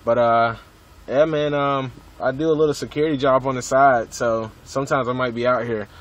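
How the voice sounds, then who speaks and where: A man's voice: medium pitch, steady tone, moderate pace. Laid-back American man on CB radio. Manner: laid-back, slangy, profane. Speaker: CB radio hobbyist. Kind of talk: casual CB radio chat.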